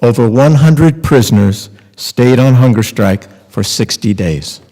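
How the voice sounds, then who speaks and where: A man's voice: mid-range voice, lively intonation, a little slow, warm tone. An older man giving a scripted reading aloud at a lectern. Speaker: reader in readers theater. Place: large temple hall, at lectern. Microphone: microphone on stand. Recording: echoey hall.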